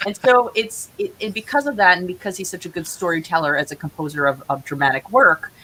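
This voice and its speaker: A woman's voice: lowish voice, fast, pushed and bright, poor audio. A woman chatting as an interview guest, explaining creative projects in an earnest, casual way. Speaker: guest, playwright. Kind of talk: casual interview chat about own projects. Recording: clear background hiss.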